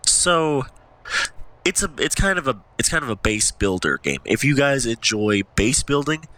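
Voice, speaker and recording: A man's voice: medium pitch, sing-song, normal speed, pushed and bright. A man with a stuffy nose from allergies, casually commentating his gameplay as a channel host, rambling and thinking aloud.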